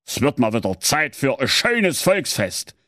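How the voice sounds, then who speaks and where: A man's voice: mid-range voice, very animated, unhurried, pushed and bright, no room sound, clean studio sound, voice pushed up. A man voicing a bored, lazy middle-aged king who whines and grumbles in a Saxon accent. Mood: whiny. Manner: whiny, grumbling.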